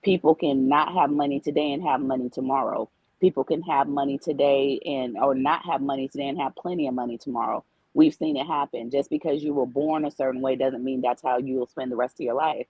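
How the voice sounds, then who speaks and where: A woman with a slightly husky, breathy voice lays out her view in an earnest, casual way, returning to the same points as she goes. The sound is dull and low-fi, and very dry. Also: fairly even intonation, a little fast, few pauses, dry recording. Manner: explains at length, repeats points. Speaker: guest.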